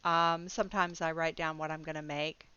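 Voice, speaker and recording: A middle-aged woman in a chatty, rambling vlog, her voice a little low and smooth. She laughs easily and keeps breaking off with ums and restarts. The sound is dry and close, with a slightly dull, lo-fi quality. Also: level tone, normal speed, sharp tone, dry recording. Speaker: budgeting vlogger. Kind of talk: casual talk to camera.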